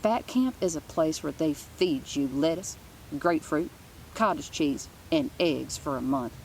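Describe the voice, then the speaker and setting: A woman's voice: sits low, expressive, unhurried, darkish tone, a little hiss or hum, low-quality recording. A woman reading a children's book aloud as a storyteller, in an informal manner. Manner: informal, with asides.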